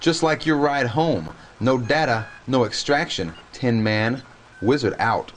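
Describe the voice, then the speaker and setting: A man's voice: mid-range voice, unhurried, music in the background, close to an everyday voice. An actor playing a brash, impatient male hacker handler, slangy and needling, in a video game cutscene. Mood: agitated.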